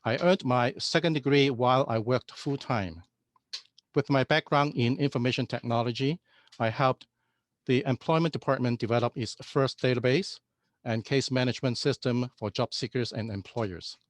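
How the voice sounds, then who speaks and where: A man from Hong Kong gives a prepared introduction of himself at a measured, formal pace. His voice sits at an ordinary pitch for a man. The sound is dry, with no room around it, like a video call. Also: no echo. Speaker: museum executive director.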